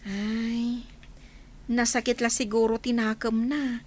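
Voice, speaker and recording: High-voiced young woman teacher played by an actress. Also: level tone, slow pace, darkish tone. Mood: concerned. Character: friendly young woman, newly graduated volunteer teacher. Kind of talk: Ilocano radio drama acting.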